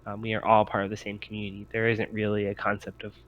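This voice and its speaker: A solemn, devout elder of a frontier community, played by a male game master. He has a low voice and speaks patiently in measured, formal, halting phrases, as in a tabletop sci-fi story. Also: monotone, dull audio, close to an everyday voice. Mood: patient, welcoming.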